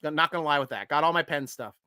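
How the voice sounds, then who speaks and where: A man hosting a live sports stream, reading viewer comments and cracking jokes. His voice is bright and forward. He swings from loud shout-outs to low asides, and the sound is dry and close. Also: very fast, clean tone, no room sound. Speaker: host.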